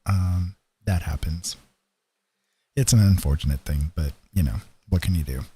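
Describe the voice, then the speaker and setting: Very deep, husky, tired male streamer. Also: dark tone. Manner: rambling, pausing, appreciative. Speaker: livestream host, digital painter. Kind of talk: casual livestream chat.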